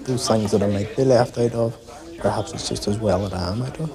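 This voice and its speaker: Man, casual interviewee; low voice over pub crowd. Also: brisk. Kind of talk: documentary interview.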